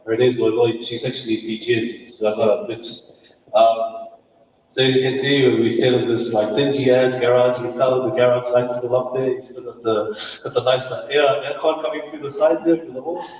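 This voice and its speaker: A man's voice: mid-range voice, steady tone, moderate pace, a little mellow, highs cut off. A man gives a casual, chatty talk as a guest speaker, joking about himself. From New Zealand. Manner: chatty, laughing, self-mocking. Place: event hall. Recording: distant, echoey hall with some noise.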